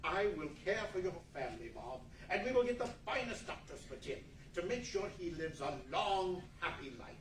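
A man performs an old miser turned generous and exuberant in a live radio play. His theatrical voice is high and very lively. It is heard from far off in an echoey meeting room. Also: moderate pace, hardly any pauses, a little hiss or hum. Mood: tender, repentant. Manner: theatrical, effusive. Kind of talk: 1940s radio play acting before an audience. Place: large indoor meeting room, live stage reading. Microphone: far camera.

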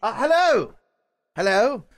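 A man's voice: very high-pitched, sing-song, takes it slowly, heavily acted. A man voicing a nervous, lovesick young blacksmith, hesitant and awkward, in an improvised comedy dub. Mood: nervous, eager.

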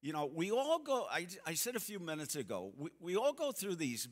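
A man in his seventies giving a church seminar talk, a folksy, animated storyteller who acts out the people in his stories. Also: a little high, a little fast. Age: 70s. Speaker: seminar speaker. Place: church sanctuary stage, seated. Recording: long hall reverb from the sanctuary.

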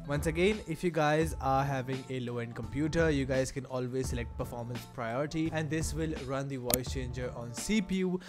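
A man narrating a screen-recorded software tutorial, speaking directly to viewers with a promotional enthusiasm. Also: medium pitch. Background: background music.

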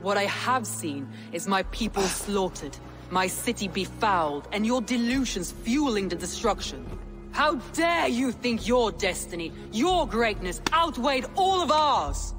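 Woman as low-voiced stern Viking war leader. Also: lively intonation, moderate pace, over music, natural voice. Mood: angry. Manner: solemn, commanding. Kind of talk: video game cutscene acting.

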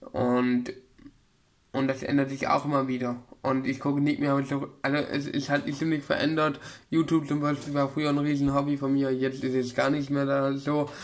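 A man of about twenty-one chatting casually to his webcam in a small room, rambling with many fillers and restarts. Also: medium pitch. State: getting over a cold. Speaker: vlogger. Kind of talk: casual chat. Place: small room with bookshelf, indoors. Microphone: webcam at desk, close.

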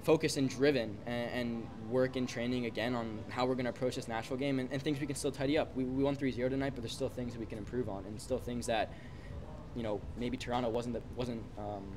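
Fast-talking young soccer player in a scrum. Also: male voice, mid-range voice, steady tone. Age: 20s. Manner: quick, repeats words, casual fillers. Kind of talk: post-game media scrum. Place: busy stadium mixed zone, indoors. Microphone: reporters' phones and handheld mics held close. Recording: loud background chatter noise.

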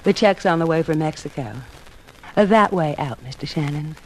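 A woman's voice: deep voice, normal speed, loud music behind the voice, natural voice. An actress playing a flirtatious, businesslike female insurance agent with coy patter in a 1950s radio drama. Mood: brisk, businesslike. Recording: noisy 1950s radio recording.

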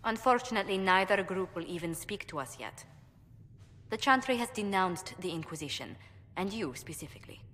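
An actress playing a poised female ambassador who speaks in a gracious, measured, formal way. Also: medium pitch, expressive, fairly bright tone, in a large hall, lightly acted. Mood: composed. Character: poised female court ambassador, polite and diplomatic.

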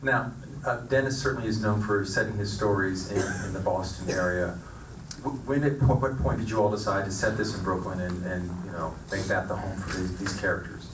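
A male moderator with a low voice asks questions through a handheld mic, tripping over and repeating words. The recording is roomy and distant, with noise underneath. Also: fairly even intonation, brisk. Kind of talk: panel moderating. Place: stage of a screening theater. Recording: echoey theater, distant mic, noisy.

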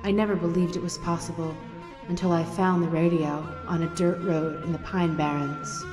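A woman narrating an eerie scripted introduction as host, in a measured, mysterious manner. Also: on the low side, little pitch movement, reverberant, big-room sound, over music.

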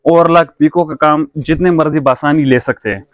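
A man's voice: on the high side, fairly even intonation, normal speed, no echo. A man with a Pakistani accent who runs a sewing machine shop, patiently explaining machines step by step in a casual demonstration. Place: shop full of machines, indoors.

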